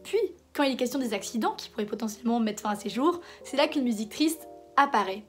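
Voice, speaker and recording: A woman hosts a casual video essay with a hoarse voice, since she has a cold. She speaks a little high and conversationally, often pausing and restarting her sentences. Faint music plays underneath. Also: lively intonation, a bit bright. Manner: conversational, hesitant fillers and restarts.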